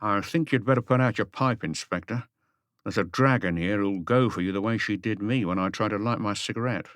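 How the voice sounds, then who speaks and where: A man's voice: on the low side, fairly quick, fairly bright tone, no room sound, clean studio sound, natural voice. A man voicing a grumbling neighborhood police inspector in an audiobook, informal and complaining. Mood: warning.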